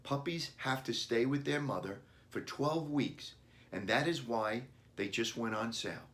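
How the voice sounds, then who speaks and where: A man's voice: medium pitch, a little slow, a bit bright, close to an everyday voice. A man voicing a friendly, helpful pet store clerk in a children's storybook, polite and explanatory.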